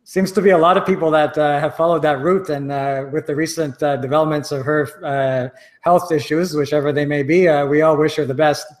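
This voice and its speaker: A man hosting an interview show, relaxed and pausing often with fillers. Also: on the high side. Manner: relaxed, with frequent "uh" pauses. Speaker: host.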